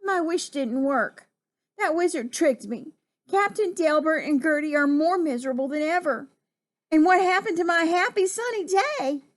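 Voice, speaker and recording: A woman's voice: extremely high pitch, wildly swooping pitch, moderate pace, few pauses, fairly bright tone, pitched above the performer's own voice, heavily acted. A woman voicing an earnest male bullfrog who is easily distressed, in a children's storybook reading. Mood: dejected. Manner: panicky, pleading cries.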